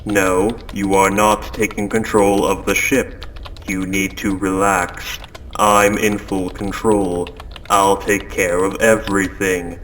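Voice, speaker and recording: A man voicing a calm, controlling spaceship AI gone quietly insane, speaking with measured, polite, coldly insistent phrasing in a scripted audio drama. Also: deep voice, slight background noise. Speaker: audio roleplay performer. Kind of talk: scripted second-person audio drama monologue.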